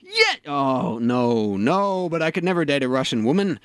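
A man's voice: on the high side, huge pitch swings, moderate pace, fairly bright tone, clear, resonant tone, dry recording, clean studio sound, heavily acted. A man playing a lonely, self-mocking middle-aged dungeon master in an audio sitcom, wry in manner. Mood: excited.

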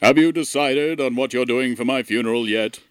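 A man's voice: mid-range voice, normal speed, smooth, natural voice. Man playing a gloomy, formal alien intelligence. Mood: haughty. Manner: formal, ponderous, melancholy. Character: gloomy ancient disembodied male alien intelligence facing death. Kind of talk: comedy audio drama monologue.

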